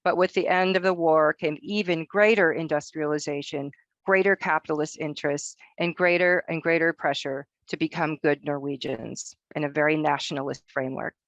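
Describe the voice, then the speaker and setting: Gravelly-voiced woman reading an academic talk. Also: low-pitched, steady tone, normal speed, dry recording, highs cut off. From Northern Norway. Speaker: researcher presenting at a roundtable. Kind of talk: prepared academic presentation.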